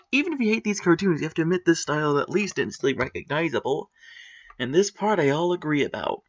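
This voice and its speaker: A man's voice: a little high, fairly bright tone, lo-fi sound. A man giving opinionated commentary on a written post, critical and matter-of-fact with sarcastic asides.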